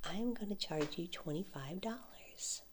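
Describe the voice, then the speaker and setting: Low, slow-talking soft woman vendor. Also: expressive, very noisy. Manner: soft-spoken, drifting into whispers, light frequent laughs. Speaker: ASMR creator playing a flea market vendor. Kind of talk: ASMR roleplay.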